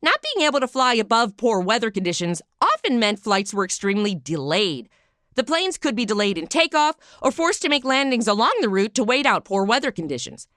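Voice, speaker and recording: A woman's voice: a little high, big pitch swings, brisk, fairly bright tone, no room sound, clean studio sound. An American woman hosting a podcast, narrating scripted but informal history in a conversational storytelling way with wry asides. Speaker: podcast host.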